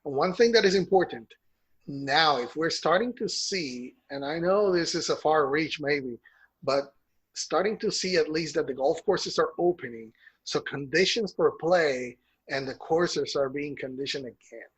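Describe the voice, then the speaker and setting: Husky man walking through a chart. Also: fairly high pitch, unhurried, a bit bright, low-quality recording. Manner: explains a chart step by step, with restarts. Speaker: co-host of a golf news show. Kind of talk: casual chat.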